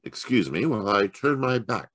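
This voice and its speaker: Guy acting old radio mystery detective, lowish voice, really animated, stammery and formal, dry sound. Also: no echo. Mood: deliberate, authoritative. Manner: halting, stammering, then deliberate and formal. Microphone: home microphone on a video call.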